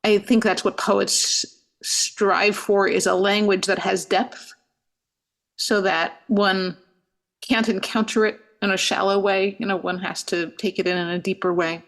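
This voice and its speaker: Gravelly-voiced woman rabbi, reflective. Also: mid-range voice, fairly even intonation, unhurried, a bit bright. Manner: thoughtful, frequent "you know" fillers and restarts. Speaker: rabbi and guest. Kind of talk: reflective interview answers.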